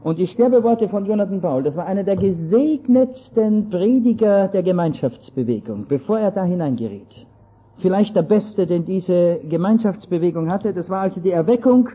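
Husky high-voiced Austrian preacher. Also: male voice, expressive, normal speed. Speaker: speaker. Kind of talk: lecture-style sermon. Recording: dull lo-fi recording.

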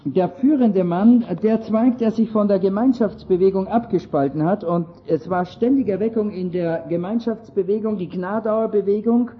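A man's voice: high-pitched, moderate pace, hardly any pauses, husky. A man with an Austrian accent giving an earnest, emphatic lecture-style sermon. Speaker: speaker. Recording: dull lo-fi recording.

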